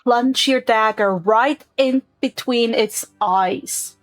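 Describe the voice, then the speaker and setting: A woman's voice: on the high side, slow, no room sound. A woman narrating a tabletop game as game master, describing scenes and explaining rules patiently.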